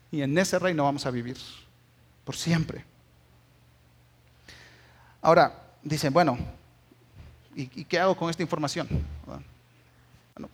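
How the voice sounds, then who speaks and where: High-voiced Guatemalan pastor preaching a sermon. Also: male voice, breathy edge. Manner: emphatic, exhortative, with rhetorical tag questions.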